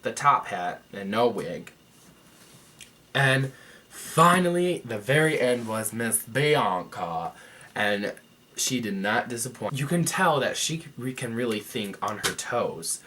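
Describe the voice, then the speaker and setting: Sassy, animated young man vlogging. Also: mid-range voice, slow. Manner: sassy, profane and gossipy, with mocking quoted voices. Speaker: vlogger reviewing a TV show. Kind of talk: casual talk to camera.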